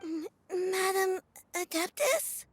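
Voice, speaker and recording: A woman voicing a timid, earnest and wistful young girl in an anime game, soft and hesitant. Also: extremely high pitch, expressive, takes it slowly, full character voice. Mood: timid, sad.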